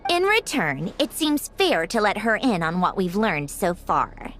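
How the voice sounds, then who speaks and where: A haughty young game villainess type, sardonic and teasing. Her mid-pitched voice swings dramatically up and down with an aloof edge. Soft music plays behind. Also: sing-song, unhurried. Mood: aloof, composed. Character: haughty, teasing young woman of high rank. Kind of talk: video game cutscene acting.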